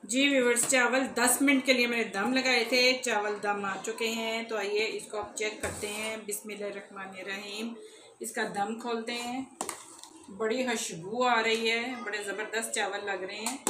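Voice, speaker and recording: A woman's voice: fairly high pitch, flat delivery, moderate pace. A middle-aged woman, a cooking channel host talking viewers through a recipe step by step in a friendly, chatty way in her home kitchen. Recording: echoey kitchen with faint background noise.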